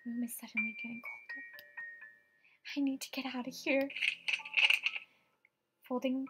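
Woman acting out a frightened young woman, high voice. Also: flat delivery, normal speed, loud music behind the voice, close to an everyday voice. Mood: uneasy, hushed. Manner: dramatic, tremulous, breathy asides, outbursts. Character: frightened superstitious young woman estate agent in horror game. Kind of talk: horror visual novel voice acting.

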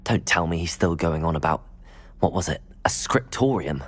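A man playing a courteous, persuasive teenage student wizard, speaking in a measured way in a video game cutscene. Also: deep voice, expressive, fairly bright tone. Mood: careful, apologetic.

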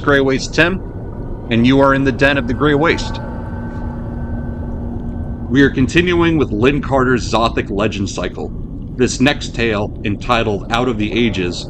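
A man reading a horror story aloud as host and narrator, in a measured, formal way. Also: mid-range voice. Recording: clearly noisy background.

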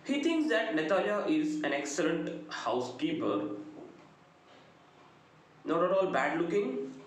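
A middle-aged man with an Indian accent giving a literature lecture, deliberate and emphatic, phrase by phrase. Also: a little high, very animated. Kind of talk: lecture summarizing a play. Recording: very echoey room, faint background noise.

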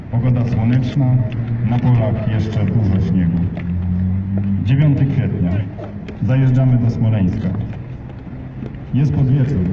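A man solemnly reading diary entries aloud at an outdoor memorial march, in a slow, measured, grave manner. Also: medium pitch. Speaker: reader of diary excerpts. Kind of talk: solemn reading over loudspeakers. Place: outdoor street march in a city. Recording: distant PA in open air, echoey, dull, noisy.

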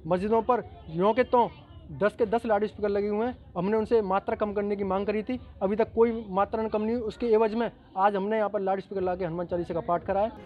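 A man giving an outdoor statement to reporters into handheld press mics, complaining quickly and insistently. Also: high-pitched, steady tone. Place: street outdoors. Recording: dull, muffled street recording.

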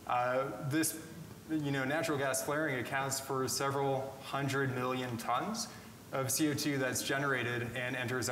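Slightly raspy male scientist in echoey hall. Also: mid-range voice, slight background noise. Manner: steady explanatory delivery with frequent "uh" fillers. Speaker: research scientist presenting. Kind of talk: conference technology presentation. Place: hotel ballroom with seated audience. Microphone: room PA, captured by far camera.

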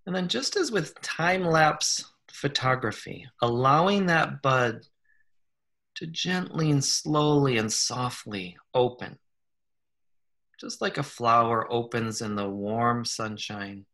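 A man leading a guided meditation in a gentle, unhurried manner, leaving long pauses between short phrases. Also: medium pitch. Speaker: guided meditation leader, psychologist. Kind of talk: slow guided meditation, soothing instruction.

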